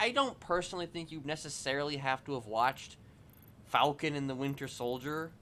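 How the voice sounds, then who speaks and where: A man's voice: on the high side, sharp tone, a little hiss or hum. A man chatting casually on a livestream in a rambling, hedging, conversational way. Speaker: live streamer. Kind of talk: casual chat during a game livestream.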